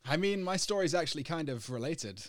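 A man's voice: a little high, expressive, a little fast, no echo, studio quality. A young man co-hosting a podcast in casual chat, joking and laughing often as he talks things through. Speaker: podcast co-host.

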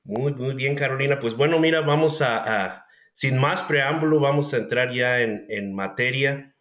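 Man, podcast host, mid-pitched, roomy echo, courteous filler-heavy delivery. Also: moderate pace, a bit bright. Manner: polite, filler-heavy introductions. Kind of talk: interview hosting. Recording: roomy echoey audio.

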